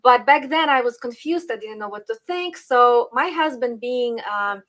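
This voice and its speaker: Woman, slightly high voice. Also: expressive, normal speed, a bit bright. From Western Ukraine. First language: Ukrainian. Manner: earnest, with frequent ums and small laughs. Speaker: podcast guest. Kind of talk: casual interview talk.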